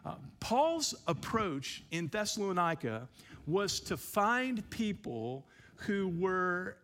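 A man who is a pastor, giving an evening church teaching with a relaxed, self-deprecating manner, many fillers. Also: high voice, slow, slight background noise. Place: church sanctuary. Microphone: handheld microphone.

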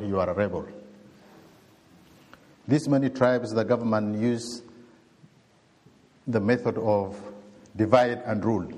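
Sudanese bishop in his 40s giving a testimony, low voice, halting careful english, long echo like a big church. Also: a little mellow. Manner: slow, halting, heartfelt non-native English.

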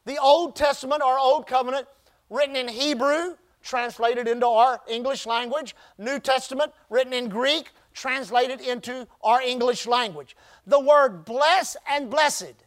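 Pastor, male, very high voice. Emphatic sermon delivery with call-and-response. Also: a bit bright. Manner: call-and-response preaching, emphatic drawn-out phrases.

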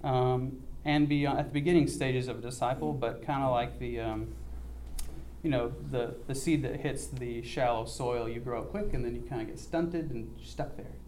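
Earnest man with a dark, mellow voice. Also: mid-range voice, fairly even intonation, slight background noise. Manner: earnest, halting with many ums. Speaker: mission trip speaker. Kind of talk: church mission report, casual.

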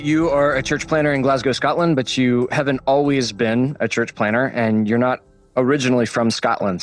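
A man with a fairly low voice hosts a podcast interview and asks his questions in a measured, conversational way. The sound is dry and close, with faint music behind him. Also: dry recording. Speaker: podcast host. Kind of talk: interview hosting.

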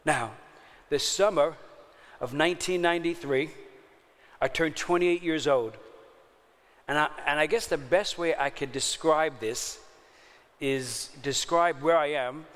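Conversational pastor. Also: male voice, fairly high pitch, a little slow, long pauses, a bit bright, big-room sound. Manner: conversational, checks in with the audience often. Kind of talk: sermon.

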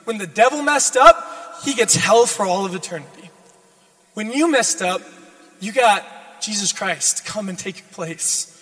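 A hoarse young man preaching a sermon as a guest speaker, repeating his key lines and building in intensity. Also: sits high, animated, measured pace, fairly bright tone. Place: church sanctuary. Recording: long church reverb.

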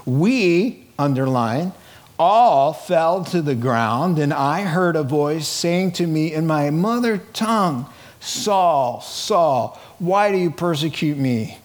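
High-voiced, middle-aged male preacher. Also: takes it slowly. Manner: animated storyteller, acting out quoted dialogue; conversational, informal. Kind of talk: sermon, reading scripture and retelling it in colloquial, dramatized asides.

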